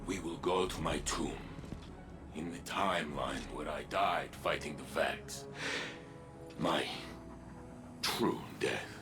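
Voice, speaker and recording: An actor playing a weary, solemn veteran warrior in a video game, speaking gravely and deliberately with halting pauses. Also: male voice, very deep voice, over music, full character voice. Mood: solemn resolve. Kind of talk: video game cutscene acting.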